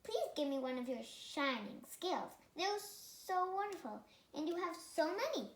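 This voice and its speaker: A woman's voice: very high-pitched, very animated, unhurried, voice pushed up, exaggerated voice. A young girl voicing a small, eager male storybook fish, pleading as it speaks. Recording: echoey room with faint noise.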